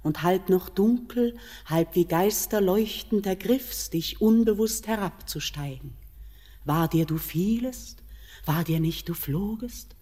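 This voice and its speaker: An actress playing a mysterious, seductive queen of the mountain in a classic radio play, speaking solemnly and sinking into hushed whispers. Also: on the low side, slow. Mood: entreating. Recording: long hall reverb.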